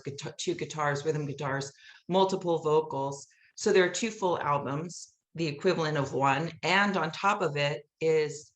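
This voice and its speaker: A middle-aged woman chattily telling stories as an interview guest, often acting out remembered dialogue. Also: on the low side. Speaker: guest, musical playwright. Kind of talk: animated interview storytelling. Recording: roomy, echoey sound.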